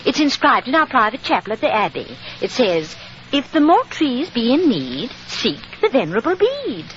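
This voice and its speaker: A woman's voice: on the high side, huge pitch swings, moderate pace, fairly bright tone. An actress playing an earnest, emotional upper-class young lady with a British accent, genteel, in 1940s radio style. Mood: earnest pleading. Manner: genteel, pleading. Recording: dull, hissy 1940s radio recording.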